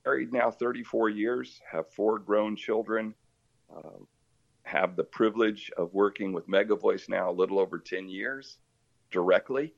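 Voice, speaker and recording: A man's voice: lowish voice, moderate pace, gravelly, highs cut off. A man in his fifties from Brazil, a native Portuguese speaker, talking casually as a missionary interview guest in a friendly, rambling way.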